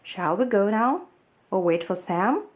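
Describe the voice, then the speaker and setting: A woman voicing a kindly, unhurried farmer in a storybook, a man with a friendly, reassuring manner. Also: medium pitch, expressive, close to an everyday voice. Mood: kindly, inviting.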